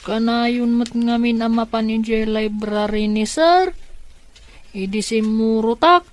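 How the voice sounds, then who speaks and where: Ilocano radio drama: innocent, questioning young child, higher register, very unhurried speech. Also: female voice, a little hiss or hum. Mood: hopeful. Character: young child, naive and questioning, attached to an aunt.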